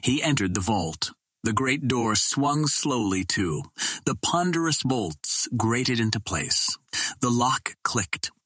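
A man reading an old adventure novel as an audiobook narrator, in a measured, dramatic storytelling manner. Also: medium pitch, very animated, a bit bright, breathy edge, dry recording.

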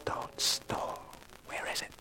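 An actor playing a smug, scheming young killer in a 1950s radio crime drama, threatening and often hushed. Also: male voice, takes it slowly, whispered. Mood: hushed menace. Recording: noisy vintage radio recording.

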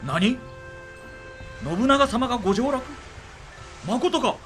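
Wary middle-aged warlord, lordly archaic diction, highish and very animated, period audio drama. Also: male voice, slow pace, soft background music, heavily acted. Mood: surprised. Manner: archaic, lordly samurai speech. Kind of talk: Japanese period drama acting.

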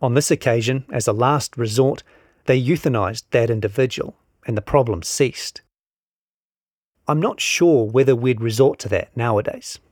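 A man's voice: medium pitch, normal speed, a little mellow, dry recording, clean studio sound. A man narrates a scripted long-form article, reading out both the reporting and the quotations.

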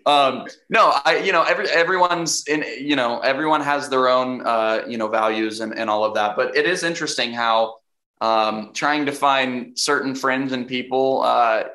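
A young man, an actor, answering interview questions casually, hesitant and full of restarts, with a fairly deep voice. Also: normal speed, bright, forceful tone. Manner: hesitant, many restarts and fillers, laughs easily. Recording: roomy echo.

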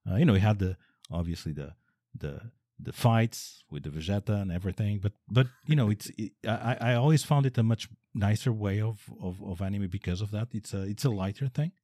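A man's voice: on the low side, mellow, no echo, clean studio sound. A man from Portugal with a Portuguese accent, speaking English as a second language in casual conversation, restarting his sentences often. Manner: halting, many restarts.

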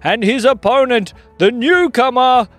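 Storyteller doing a big loud wrestling announcer, excited showman, drags words out, kids story style. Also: mid-range voice, soft background music, voice pushed up, lightly acted. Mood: hyped, booming. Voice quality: very loud, projected. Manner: drawn-out ringside showman delivery. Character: booming excited platypus wrestling arena announcer.

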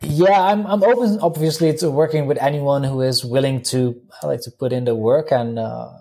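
Dutch-accented man, halting and chatty. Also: medium pitch, steady tone, vocal fry, dark tone. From Netherlands. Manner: halting, many fillers and restarts, light laughs. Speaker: guest coach. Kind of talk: casual interview answers.

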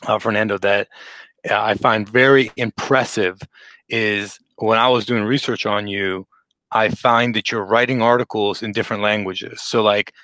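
A man's voice: fairly low pitch, fairly even intonation, normal speed, sharp tone, no room sound, poor audio. A man hosting a remote podcast interview, talking casually with many fillers and an upbeat manner. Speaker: podcast host. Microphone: remote call microphone.

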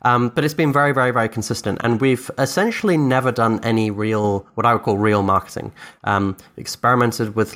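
A man whose first language is Dutch talks through his company's story in a lowish voice. He rambles thoughtfully, restarting sentences and leaning on fillers as he works out each answer. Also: a bit bright. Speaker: startup founder. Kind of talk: casual interview answers.

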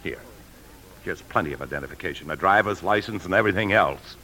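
An actor playing a nervous American bank employee in a 1950s radio drama, tense and defensive. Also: male voice, low voice, lively intonation, fast talker, pushed and bright, heavily acted. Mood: jittery, defensive. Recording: old radio broadcast with faint music.

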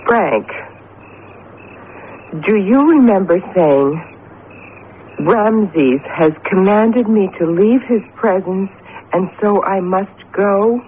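A woman's voice: medium pitch, takes it slowly, pauses often, lightly acted. An actress playing an anxious, earnest woman in an old radio drama, urgent and pleading in manner. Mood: worried, insistent. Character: anxious, earnest woman questioning a forgetful friend. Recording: tinny vintage radio broadcast, faint hiss.

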